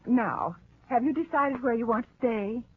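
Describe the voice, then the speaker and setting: An actress playing a lonely, insistent woman of the house, with a husky voice, in 1940s radio drama style. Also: fairly high pitch, moderate pace, slight background noise, lightly acted. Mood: insistent, wistful. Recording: tinny band-limited old radio recording.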